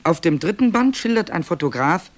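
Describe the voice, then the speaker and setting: A man's voice: high-pitched, sing-song, a little fast. A man playing a wry, digressive author who presents found tapes in a radio play, speaking conversationally and hesitantly in a dryly matter-of-fact way. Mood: dry, explanatory. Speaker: narrator.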